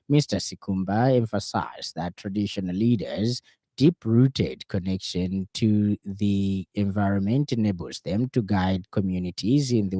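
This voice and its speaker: A man reading a scripted radio news bulletin in a formal, measured way. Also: on the low side, dark tone, no room sound. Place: radio studio.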